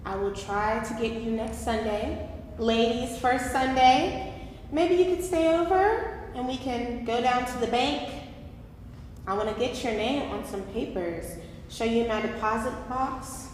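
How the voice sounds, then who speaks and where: A woman's voice: fairly high pitch, measured pace, lightly acted. A woman playing a doting, outspoken mother in a staged play reading, coaxing and folksy, with an emphatic delivery. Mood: subdued, tender. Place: live staged reading in a reverberant room. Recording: very echoey hall, some background noise.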